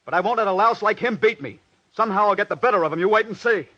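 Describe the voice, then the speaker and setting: An actor playing an embittered, stubborn scriptwriter in an old radio drama, with earnest, intense dramatic delivery. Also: male voice, high-pitched, very fast, background noise, close to an everyday voice. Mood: angry, defiant. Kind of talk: 1940s radio drama acting and first-person narration. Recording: dull vintage radio recording with music.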